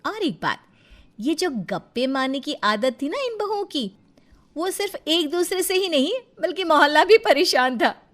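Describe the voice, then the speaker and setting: Female cartoon narrator, high register, animated intonation, playful and conversational. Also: normal speed. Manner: conversational, addresses listeners directly. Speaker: story narrator.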